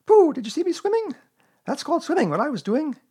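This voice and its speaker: A tiny storybook kangaroo child, shrill and squeaky, pitched far up. The voice bounces about with eager, boastful excitement. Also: male voice, fast. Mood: proud, excited. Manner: breathlessly eager.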